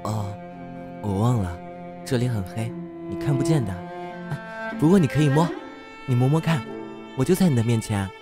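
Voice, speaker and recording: A man's voice: mid-range voice, expressive, moderate pace, pauses often, close to an everyday voice. Cheeky, boastful young guy played by a male actor, audio drama, tender underneath, music bed. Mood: playful, teasing. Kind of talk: amateur audio drama acting.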